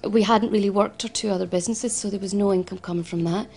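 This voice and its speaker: A woman's voice: mid-range voice, steady tone, fast talker, darkish tone, husky. A woman in her forties with a Glasgow accent, speaking earnestly in an interview and often restarting her sentences. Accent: Scottish, Glasgow. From Scotland. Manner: earnest, frequent restarts and repetitions. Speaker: founder of a financial advice service. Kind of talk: personal interview testimony.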